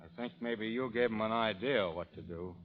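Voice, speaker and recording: An actor playing a stoic, guarded gunfighter of the Old West in an old radio drama, laconic and understated. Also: male voice, fairly low pitch, normal speed, lightly acted. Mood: grim, controlled. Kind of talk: 1930s radio drama acting. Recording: muffled old radio recording.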